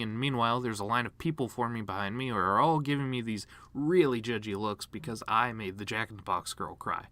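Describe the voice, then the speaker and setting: A man performing a dramatic monologue as a bitter, grieving horse giving his mother's eulogy, rambling with sardonic, self-deprecating deadpan humor. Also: medium pitch, no room sound, close to an everyday voice.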